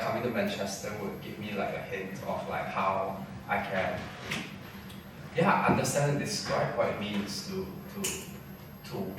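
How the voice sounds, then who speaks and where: A man from Malaysia, a textile artist, speaking at an artist talk in a gallery, thoughtful and halting as he searches for words. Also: lowish voice. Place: gallery event space. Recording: distant roomy mic with noise.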